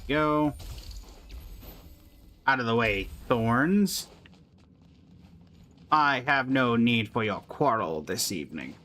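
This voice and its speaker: A man's voice: mid-range voice, expressive, slow, pauses often, bright, forceful tone, a little hiss or hum. A man streaming a video game, giving casual live commentary, greeting viewers and making playful asides to himself and the characters.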